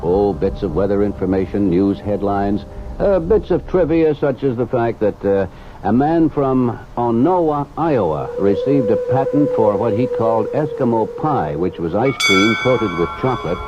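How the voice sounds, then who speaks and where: A man's voice: fairly low pitch, animated, normal speed, noisy background. A man reading a folksy, chatty promo for his weekend radio show. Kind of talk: station promo announcement. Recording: dull vintage AM radio recording with music.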